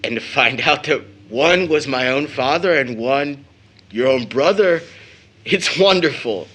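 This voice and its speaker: A young man playing an earnest, proud and bitterly wounded son in an audio drama, speaking in halting, intense phrases. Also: mid-range voice, expressive, a bit bright. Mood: awed, earnest.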